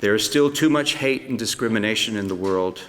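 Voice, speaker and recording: An older man speaks in a measured way, reading a prepared speech from notes. His voice sits fairly low. A long hall reverb trails after each phrase, the sound of a theatre stage. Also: a bit bright. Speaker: Holocaust survivor speaker.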